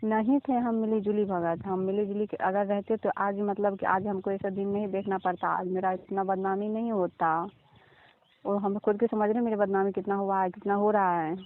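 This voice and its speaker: A woman's voice: medium pitch, steady tone, moderate pace. A woman from Bihar with a Bihari accent, defending herself insistently and colloquially in a recorded phone interview. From Bihar, India. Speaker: interviewee, orchestra stage dancer. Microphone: phone call. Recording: tinny phone-line call recording.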